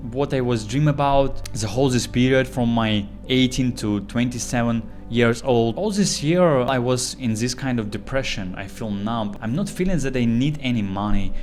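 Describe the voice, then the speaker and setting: A man in his twenties from eastern Ukraine with a Ukrainian accent, Russian his first language, speaking in earnest, halting English as he opens up in a personal vlog monologue. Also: medium pitch. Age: 20s. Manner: earnest, halting non-native English with fillers. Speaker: YouTuber.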